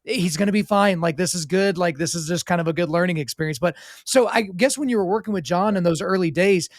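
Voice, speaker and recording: A man's voice: high voice, dry recording, clean studio sound. A man in his thirties hosting a podcast interview, rambling through his thoughts in a casual, chatty way. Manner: rapid, rambling, talks through thoughts aloud. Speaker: podcast host.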